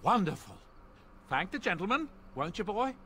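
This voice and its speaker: A man's voice: sits high, big pitch swings, normal speed, fairly bright tone, a little hiss or hum. An actor playing a genial, talkative middle-aged merchant in a video game, with folksy, courteous, flowery salesman's patter. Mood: pleased, obliging. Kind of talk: video game character acting.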